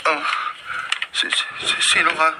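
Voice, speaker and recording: A man's voice: measured pace, very noisy, close to an everyday voice. An actor playing an injured man waking confused in a Filipino radio drama, weak and stammering, with a Filipino accent. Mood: dazed, confused. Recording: radio drama with loud music.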